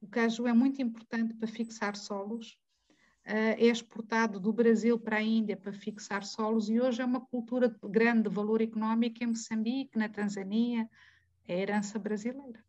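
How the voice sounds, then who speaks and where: A woman's voice: on the high side, steady tone, moderate pace, darkish tone, muffled recording. A woman with a Mozambican Portuguese accent, speaking as a guest in an academic debate, digressing and correcting herself as she goes. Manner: digressive, self-correcting, asks rhetorical questions. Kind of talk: conversational academic talk.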